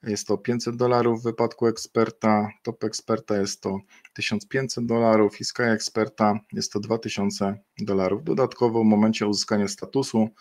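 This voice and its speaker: A middle-aged man speaks into a webcam from a desk, walking viewers through slides. His voice sits fairly low, and he talks off the cuff, pausing on fillers and correcting himself mid-phrase. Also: level tone, darkish tone. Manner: unscripted, many fillers and self-corrections. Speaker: webinar presenter. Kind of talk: explaining a partner program over slides.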